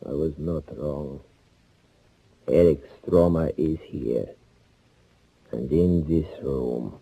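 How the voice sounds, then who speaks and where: An actor playing a smug, sadistic Nazi fugitive who can turn to pleading, in theatrical 1940s radio thriller style with mockingly courteous menace. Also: male voice, extremely low pitch, takes it slowly, vocal fry, dark tone, husky, exaggerated voice. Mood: gloating, menacing. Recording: muffled 1940s radio recording.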